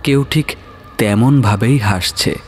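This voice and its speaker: A man narrating a horror story in a measured, dramatic, suspenseful manner. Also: lowish voice, soft background music.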